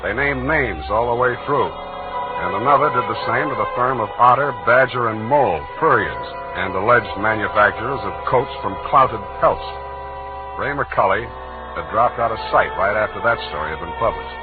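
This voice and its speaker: An actor playing a wry, hard-boiled detective in a deadpan 1940s radio drama style. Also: male voice, lowish voice, moderate pace, close to an everyday voice. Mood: wry narrating. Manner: dry, deadpan wisecracking. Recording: tinny old radio recording, music behind.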